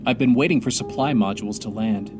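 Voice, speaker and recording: A man playing a weary, grieving astronaut commander stranded alone, speaking an earnest, halting audio drama monologue. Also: mid-range voice, over music, close to an everyday voice. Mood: worried.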